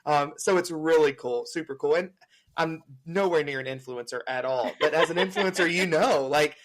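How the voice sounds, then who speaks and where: A man's voice: on the high side, normal speed. A man appearing as a guest on a podcast, chatting casually with many fillers and laughs. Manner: laughs often, with frequent fillers.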